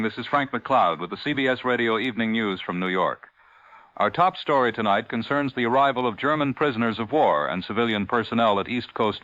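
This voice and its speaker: A 1940s newscaster in a radio drama. His delivery is formal, measured and authoritative, with a crisp forward brightness. The sound is dry and band-limited, like an old broadcast. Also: mid-range voice, dry recording, lo-fi sound. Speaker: radio announcer and news anchor.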